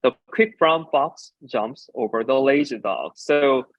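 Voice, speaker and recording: Halting male speaker, English not native. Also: mid-range voice, no echo, dull audio. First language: Korean. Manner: halting, with frequent filler pauses while explaining. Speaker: designer and ceramicist giving a talk. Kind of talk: conference presentation about slides.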